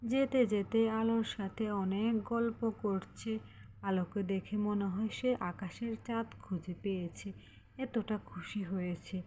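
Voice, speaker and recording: Narrator for kids' cartoon: woman, mid-pitched, unhurried scripted storytelling, slight background noise. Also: steady tone, mellow.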